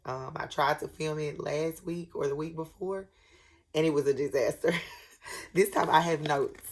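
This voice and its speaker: A woman with a cold and a raspy voice, speaking earnestly to camera in a personal vlog, with many pauses and restarts. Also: low voice. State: sick with a head cold. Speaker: vlogger. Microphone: camera at desk, glancing at notes.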